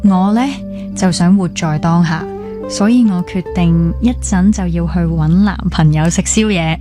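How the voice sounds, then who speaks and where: A woman's voice: lowish voice, normal speed, music in the background, lightly acted. A woman with a Hong Kong Cantonese accent acting a chatty, carefree young friend in a radio drama, talking in a casual, familiar way. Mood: sympathetic, light. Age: young adult.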